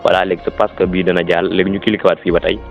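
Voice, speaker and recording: A man's voice: deep voice, flat delivery, rapid-fire, highs cut off, faint music behind. A man with a Senegalese accent gives an informal step-by-step app tutorial, repeating instructions and mixing in French words. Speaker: tutorial presenter.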